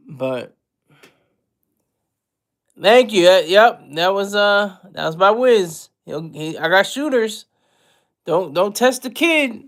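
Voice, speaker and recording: High-voiced man rambling on a livestream. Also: a bit bright. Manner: loose, rambling, with frequent restarts and chuckles. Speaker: livestream host reading chat. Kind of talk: casual livestream chat.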